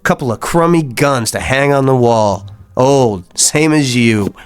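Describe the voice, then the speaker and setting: A man's voice: medium pitch, a little slow, smooth, lightly acted. An actor with an American accent playing a slick, boastful, pushy man on the make in a radio drama, speaking with smug insistence. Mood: scornful, pushy.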